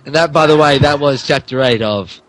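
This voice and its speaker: A man's voice: a little high, a little fast, fairly bright tone, poor audio. A man, a podcast host, narrating a comic dramatic reading of fan fiction theatrically and stumbling over the text.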